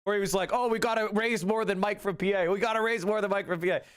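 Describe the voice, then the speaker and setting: High-voiced excited male streamer. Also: fast talker, clear, resonant tone. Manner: excited, profane, rambling. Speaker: political livestreamer. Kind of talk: casual livestream commentary.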